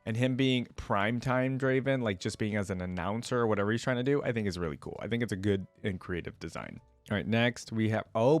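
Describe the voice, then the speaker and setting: A man's voice: medium pitch, darkish tone, dry recording. A man giving casual, off-the-cuff reaction commentary as a video host, thinking aloud in an informal, wryly bemused way.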